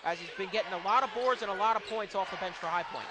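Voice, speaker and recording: A man's voice: sits high, fast talker. A man giving live color commentary on a basketball game in a conversational way. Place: indoor college basketball arena. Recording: noisy courtside gym broadcast. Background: gym noise, bouncing basketball.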